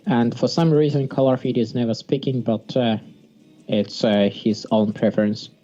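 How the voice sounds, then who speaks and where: Guy casting a strategy game, halting english, soft mellow voice, kinda muffled mic w music in back. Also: medium pitch, steady tone. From Sweden. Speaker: game commentator. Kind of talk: casual live strategy game commentary. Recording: dull lo-fi mic, music behind.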